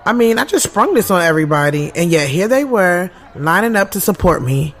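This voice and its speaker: A woman's voice: fairly low pitch, sing-song, a little fast. An actress as heartfelt thirty-year-old woman confiding her story. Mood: touched, conflicted. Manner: conversational, confiding. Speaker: lead actress and narrator. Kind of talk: first-person audio drama narration.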